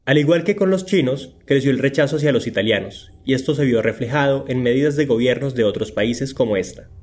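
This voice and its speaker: A man's voice: medium pitch, brisk, a little mellow. A man delivers scripted documentary narration as the narrator.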